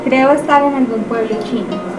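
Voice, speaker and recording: A woman's voice: sits high, normal speed, natural voice. A woman playing an enchanted time traveller in a student radio drama, marvelling as she describes what she sees. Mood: enchanted, curious. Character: enchanted female time traveller observing an ancient village. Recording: echoey, distant, under loud music.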